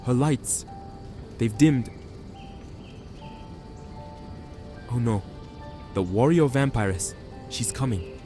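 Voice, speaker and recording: A man playing a troubled hidden observer who narrates a fantasy drama in hushed, halting phrases. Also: mid-range voice, animated, natural voice. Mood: shocked. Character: watchful hidden observer, troubled chosen one, resolute. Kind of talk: first-person dramatic narration. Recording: music behind the voice.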